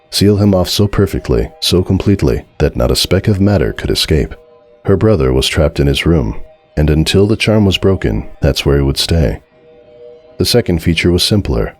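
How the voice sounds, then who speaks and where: Casting: male narrator, profoundly deep, solemn dramatic storyteller, faint music underneath. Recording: music behind the voice.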